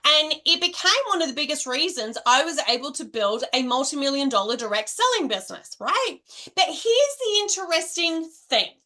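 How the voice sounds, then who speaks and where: Middle-aged Australian woman, high and bright, chatty coach who keeps checking in with "right?". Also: sing-song, moderate pace. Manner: chatty, ends nearly every point with "right?". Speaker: direct selling coach. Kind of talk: casual coaching monologue to camera.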